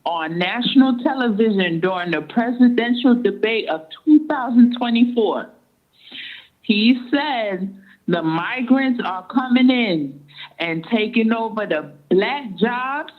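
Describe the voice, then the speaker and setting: Slow-talking woman on a tinny phone line, measured and deliberate. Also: a little high. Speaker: guest caller. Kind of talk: casual radio talk.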